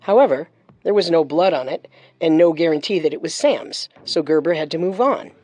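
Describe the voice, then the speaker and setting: A woman's voice: low-pitched, lively intonation, fairly quick, mellow, dry recording. A woman hosting a true-crime show with scripted narration. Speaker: host and narrator.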